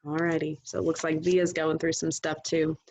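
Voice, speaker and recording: A woman's voice: low-pitched, flat delivery, moderate pace, a little mellow. A woman reading a novel aloud in a casual, conversational way, talking directly to her listeners. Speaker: host reading a book aloud. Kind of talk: read-aloud of a first-person novel, conversational.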